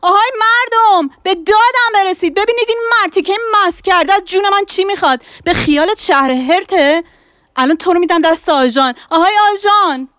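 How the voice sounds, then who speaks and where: A woman voicing a quarrelsome, sharp-tongued married woman who scolds and shouts insults. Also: sits high, no room sound, clean studio sound, lightly acted. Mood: shrill outrage. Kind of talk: audiobook character acting.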